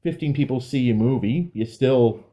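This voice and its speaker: A man's voice: medium pitch, normal speed, dark tone. A man co-hosting a podcast, chatting casually about films with a sardonic, sweary manner. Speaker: podcast co-host. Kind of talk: casual film discussion, sweary.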